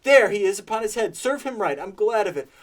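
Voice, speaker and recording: A man reading aloud and voicing an old miser who is excited and tearful, caught between laughing and crying. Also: medium pitch, moderate pace, natural voice. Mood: giddy.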